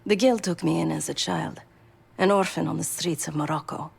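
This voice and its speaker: An actress playing a guarded, hardened assassin in a video game, a woman with a very creaky, husky voice and a terse manner. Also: sits low, normal speed, full character voice. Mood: guarded, regretful. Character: guarded, hardened female assassin raised by criminals. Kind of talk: video game dialogue acting.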